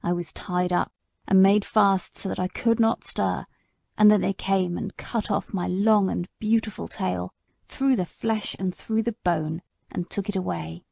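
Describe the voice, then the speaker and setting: A woman voicing a bitter, indignant old male horse in an audiobook, speaking slowly in a low, grave way. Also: steady tone, vocal fry, a little mellow, no echo, clean studio sound. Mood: consoling, bitter. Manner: slow, low, grave delivery. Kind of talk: audiobook character voice.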